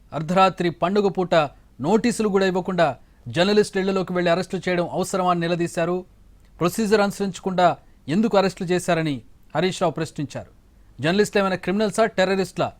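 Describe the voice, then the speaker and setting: A man reading scripted news as an anchor in a formal bulletin style. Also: high-pitched.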